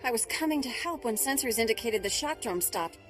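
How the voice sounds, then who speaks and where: A woman voicing an expressive, motherly scientist in a video game, warm but quick to scold. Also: on the high side, faint music behind, close to an everyday voice. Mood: relieved, grateful.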